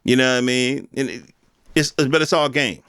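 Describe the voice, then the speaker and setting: A man speaks at an average pitch with a smooth, resonant tone, recorded dry and close. He hosts in a loose, unscripted rant style, using street slang and the odd swear word. He often puts questions straight to his listeners. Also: normal speed, fairly bright tone, no room sound. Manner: rhetorical questions addressed to listeners. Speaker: podcast host. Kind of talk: casual unscripted opinion rant.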